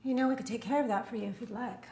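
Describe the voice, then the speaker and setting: An actress playing a manipulative clinic director in a film drama, measured, hushed and persuasive. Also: female voice, fairly high pitch, noisy background, lightly acted. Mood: coolly persuasive. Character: smooth, manipulative woman clinic director, quietly sinister. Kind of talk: film drama villain acting.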